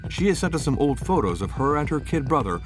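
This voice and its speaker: A man narrating a documentary in a measured, grave scripted delivery. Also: a little high, very animated. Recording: voice-over with clear music behind.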